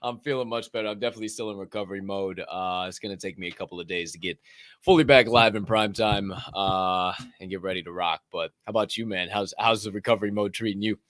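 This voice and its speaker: A man hosting a casual talk show, energetic and profane, with big hype-man intros and a voice a bit hoarse after a long weekend. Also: fairly low pitch, fast. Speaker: podcast host.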